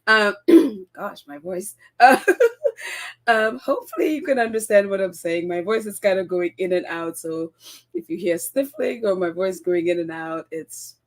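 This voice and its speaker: A woman hosting a casual solo podcast, her voice croaky and congested from a cold, chatty with frequent laughs and ums. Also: mid-range voice, moderate pace. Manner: chatty, giggly, lots of ums. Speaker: podcast host.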